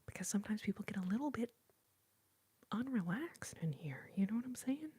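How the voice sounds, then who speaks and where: A woman from Texas in her thirties, whispering softly and breathily as she chats with viewers on an ASMR livestream. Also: medium pitch, expressive, a little fast, slightly rough. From Austin, Texas. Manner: soft whisper, chatting with viewers, giggly.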